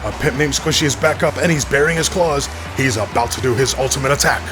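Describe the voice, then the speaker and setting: A man's voice: mid-range voice, quick pace, natural voice. A man playing an earnest wrestling commentator, giving scripted play-by-play in a cartoon show. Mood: excited. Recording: clear music over the voice.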